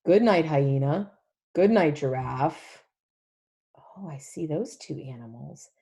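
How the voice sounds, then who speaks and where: A woman leading an interactive storybook read-along for young children, animated and emphatic, using simple repeated phrases and questions. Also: sits low, slow pace. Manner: animated, emphatic, often drops to a whisper.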